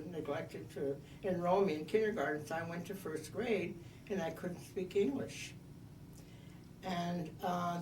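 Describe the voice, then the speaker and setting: A woman in her eighties telling her life story in an oral history interview, measured and reminiscing with frequent pauses. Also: on the low side, darkish tone, echoey room, recorded from a distance, a little hiss or hum, poor audio. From United States. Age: 80s.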